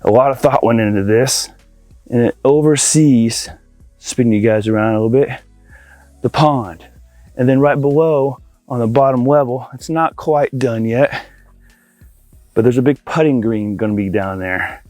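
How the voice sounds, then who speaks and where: An upbeat man presents a casual walkthrough in the open air, with very little echo on his voice. He talks informally and directly to viewers, like an installer proudly showing his work. His pitch sits in the middle range for a man. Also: moderate pace, darkish tone, faint music behind. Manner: enthusiastic, informal, addresses viewers as guys. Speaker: audio-video installer presenting a project tour. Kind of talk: casual walkthrough vlog to camera. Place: outdoors in a backyard by a pool.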